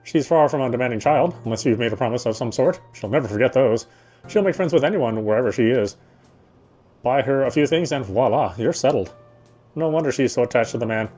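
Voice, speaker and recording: A man streaming a game, chatting casually and reading its story aloud, often halting and correcting himself. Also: mid-range voice, expressive, a little mellow. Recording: faint game music behind.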